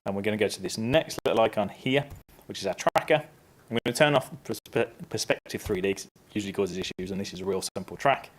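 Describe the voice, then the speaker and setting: A man presenting a casual, upbeat software tutorial on a conference stage, stepping through each click. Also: on the low side, fast. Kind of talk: live software tutorial talk.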